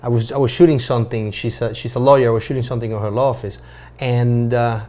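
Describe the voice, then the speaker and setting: A man from Venezuela, a native Spanish speaker with a Venezuelan accent, chatting casually and telling anecdotes in halting, restarted phrases. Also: mid-range voice, fairly even intonation, warm tone. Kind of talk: casual chat.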